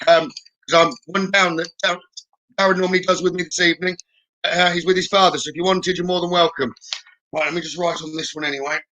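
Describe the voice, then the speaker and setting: Male live-stream psychic host, slightly high, forward bright voice, informal and halting delivery while addressing viewers. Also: steady tone, poor audio.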